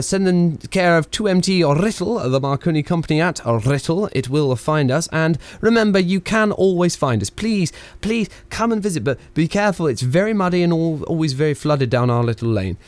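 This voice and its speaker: An actor as a rambling, jokey radio announcer, higher-voiced man. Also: animated, fairly quick, hardly any pauses, dry recording, lightly acted. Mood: rambling, dry. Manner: stammering, digressive, cheeky. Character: jokey, rambling, self-mocking 1920s radio station announcer. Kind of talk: radio play, improvised-sounding comic broadcast patter.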